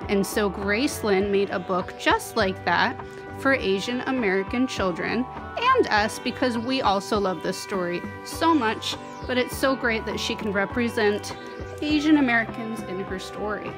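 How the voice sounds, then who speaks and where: A young woman reading a picture book aloud to children as host, expressive, with dramatic pauses and emphasis. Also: mid-range voice, music in the background. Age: young adult.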